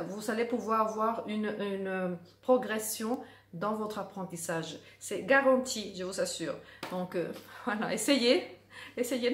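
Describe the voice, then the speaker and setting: A middle-aged woman, a drawing teacher, talking casually to the camera and earnestly stressing her points by repeating words. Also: mid-range voice. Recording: echoey room.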